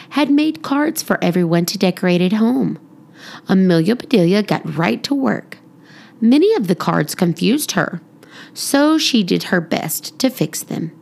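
A woman reading a children's storybook aloud as a scripted narrator, expressive and deliberate. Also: mid-range voice, vocal fry. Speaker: children's book narrator, podcast reader.

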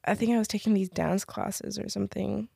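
Young actress, British-tinged English, low gravelly voice, chatty and filler-heavy, dry close sound. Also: female voice, fairly even intonation, a little fast, dark tone, dry recording. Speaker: actor, guest. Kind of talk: casual interview chat.

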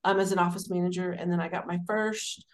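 A woman in her forties from Dallas, telling her career story as an interview guest in a warm, rambling, conversational way. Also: fairly low pitch, steady tone, fast. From Dallas, Texas. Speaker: guest, city administrator.

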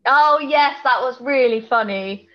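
A woman's voice: high voice, unhurried, highs cut off. A woman co-hosting a podcast in casual chat, reacting with informal, blunt asides and questions. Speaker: podcast co-host.

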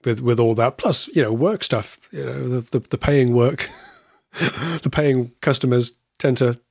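A man's voice: medium pitch, unhurried, creaky, slightly rough, no room sound, studio quality. A man co-hosting a podcast in friendly casual chat, hesitant with lots of uh sounds and restarts, on a video call. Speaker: podcast co-host.

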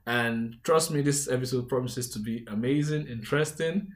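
A man's voice: medium pitch, normal speed. A young man from Nigeria with a Nigerian accent, hosting a podcast and telling his own life story in an earnest, conversational way. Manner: earnest, conversational, warm asides and shout-outs. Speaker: podcast host. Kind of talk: personal storytelling monologue.

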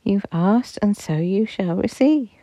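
A woman speaking as a band of loving angel friends, bright and gleeful, their voice lifting high with delight as they reassure and laugh along. they sound soft and kindly, like a storybook of guardian spirits, recorded close and dry. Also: lively intonation, unhurried, no room sound.

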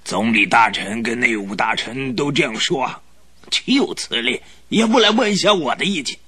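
An actor playing a pompous, irritable old duke who grunts and clears his throat in a radio drama. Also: medium pitch, animated, normal speed, sharp tone. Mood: grumbling. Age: older.

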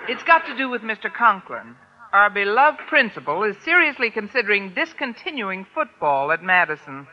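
A woman's voice: medium pitch, very animated, normal speed, close to an everyday voice. An American actress playing a wry, sardonic single woman teacher with dry wisecracking delivery in an old radio sitcom. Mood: dry banter. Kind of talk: 1940s-50s radio sitcom acting. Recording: dull, noisy old radio recording.

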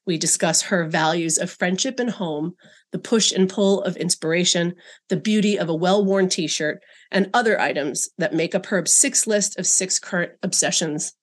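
Polished woman podcast host, low voice. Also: normal speed. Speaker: podcast host and magazine editor. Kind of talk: scripted podcast intro then interview.

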